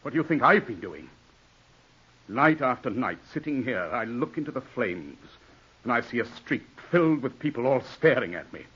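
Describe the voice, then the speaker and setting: An actor playing a cold, haughty gentleman in a 1940s radio drama, snapping commands at his servant and guarding his reputation. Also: medium pitch, sing-song, normal speed, slightly rough. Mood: angry, anguished. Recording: muffled, dull old radio broadcast.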